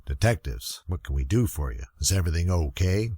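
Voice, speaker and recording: A man's voice: low voice, animated, a little fast, mellow, slightly rough, no room sound, clean studio sound. A man voicing an evasive, folksy male care-home resident, hedging as a suspect in a radio mystery. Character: evasive bearded male nursing-home resident running a betting pool. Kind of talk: old time radio mystery acting.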